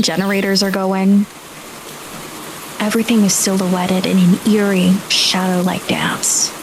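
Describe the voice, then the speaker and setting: A woman's voice: mid-range voice, rough recording. Woman, game master, dramatic storytelling, atmospheric narration, mid-range voice, background music. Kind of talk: scripted-sounding atmospheric tabletop narration.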